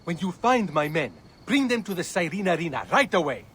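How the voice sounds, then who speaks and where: High-voiced, blustering gladiator boss. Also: male voice, very animated, a little fast, a bit bright, a little hiss or hum. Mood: commanding. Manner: indignant, commanding. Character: blustering, scornful gladiator boss, owner of fighters. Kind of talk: dramatic game acting.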